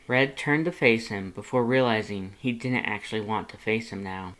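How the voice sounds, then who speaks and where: A narrator reading fan fiction aloud in an expressive, scripted storytelling style. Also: medium pitch, moderate pace. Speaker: fanfiction audiobook narrator and channel host.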